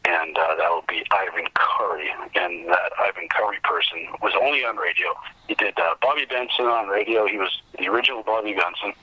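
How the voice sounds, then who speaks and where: Male interview guest, very bright and forceful yet husky, halting casual delivery, thin telephone sound. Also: low voice, no room sound, dull audio. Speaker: podcast guest, convention organizer.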